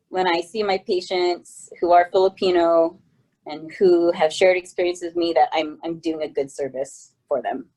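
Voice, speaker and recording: Earnest low-voiced woman physician. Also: a little mellow, rough recording. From California. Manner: earnest, reflective, with fillers. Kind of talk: video testimonial.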